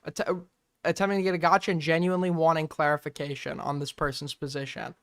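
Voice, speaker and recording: A man's voice: fairly high pitch, fast talker, a bit bright, clean tone, no echo, clean studio sound. A man hosting a stream debate, pressing his points hard, repeating words and talking over the other speaker. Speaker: streamer host. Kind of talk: heated casual debate.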